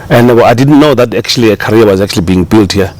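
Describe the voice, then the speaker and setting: Relaxed middle-aged South African man. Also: on the low side, quick pace, dry recording. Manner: relaxed, rambling, many fillers and restarts. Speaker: chef, guest. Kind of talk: casual interview talk.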